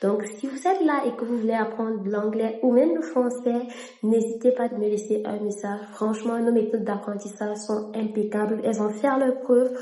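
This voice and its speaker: A woman's voice: a little high, steady tone, moderate pace, mellow. A young woman from Cameroon, a French native speaker, telling her story to camera in a casual vlog and slipping into English mid-sentence. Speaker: vlogger and interpreter. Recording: echoey room.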